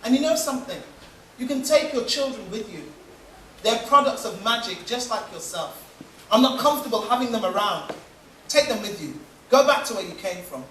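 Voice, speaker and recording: A storyteller voicing an arrogant, drunken rich village man who blusters loudly and indignantly. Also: mid-range voice, lively intonation, normal speed, slight background noise. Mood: scornful. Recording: echoey room, distant mic.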